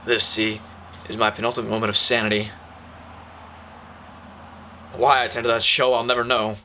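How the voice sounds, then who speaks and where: Man playing a brooding noir poet, bright-edged voice. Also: lowish voice, little pitch movement, moderate pace, a little hiss or hum. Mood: brooding regret. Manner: melodramatic, confessional. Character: gloomy self-pitying male slam poet, brooding noir narrator. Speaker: narrating lead character. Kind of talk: noir film voice-over monologue. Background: music.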